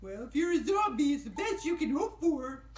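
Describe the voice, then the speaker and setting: Friendly, flustered zombie puppet, high and swooping, children's show. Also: male voice, very animated, brisk, roomy sound, loud music behind the voice. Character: gentle, friendly zombie puppet, falling apart, easily flustered.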